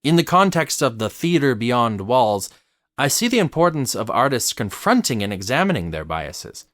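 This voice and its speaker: A man narrates a formal, scripted essay in a close, dry recording. His pitch moves freely up and down as he goes. The phrasing is articulate and measured, in the manner of a theatre commentator. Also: sing-song, fairly bright tone, no room sound.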